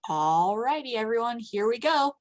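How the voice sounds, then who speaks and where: A woman's voice: a little high, expressive, moderate pace. A woman acting as organizer and announcer of a show.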